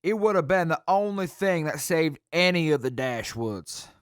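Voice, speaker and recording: A man playing a defeated, guilt-ridden former leader in jail, halting and self-blaming, in an audio drama. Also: on the high side, animated, clear, resonant tone, no room sound, clean studio sound, close to an everyday voice. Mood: bitter, guilty. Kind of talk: post-apocalyptic audio drama acting.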